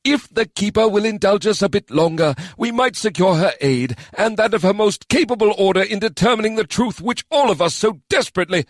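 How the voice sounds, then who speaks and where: A man reading the part of a normally calm male elven minister of law, speaking in a stern, raised voice. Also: fairly high pitch, moderate pace, hardly any pauses, dry recording, clean studio sound, pitched above the performer's own voice, close to an everyday voice. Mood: frustrated.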